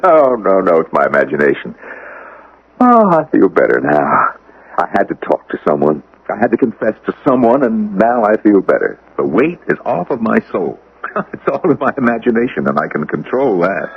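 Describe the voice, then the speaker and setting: A haunted murderer in a vintage radio thriller, overwrought and protesting. His husky voice swings widely in pitch, on a muffled old broadcast recording. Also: big pitch swings, normal speed, exaggerated voice. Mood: anguished, self-soothing. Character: guilt-ridden male murderer, panicky, haunted, pleading. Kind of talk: old-time radio drama acting.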